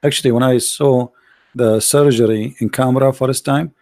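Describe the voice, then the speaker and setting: A man's voice: mid-range voice, steady tone, darkish tone, no echo. A man hosting a confrontational live debate, firing off mocking questions in non-native English. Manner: mocking, combative, rapid-fire questions. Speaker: debate show host.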